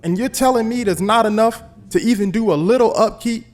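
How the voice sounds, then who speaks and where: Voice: mid-range voice, fairly quick, natural voice. An actor playing an outraged, defiant housing project resident, confrontational and indignant, in an audio drama. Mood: indignant disbelief.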